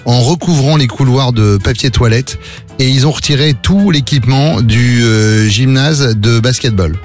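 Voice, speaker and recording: A man's voice: mid-range voice, a little slow, a little mellow. A man hosting a morning radio show, telling stories in a chatty way with many hesitations. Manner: chatty storyteller, hesitant fillers. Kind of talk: casual radio banter. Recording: radio broadcast with faint music bed.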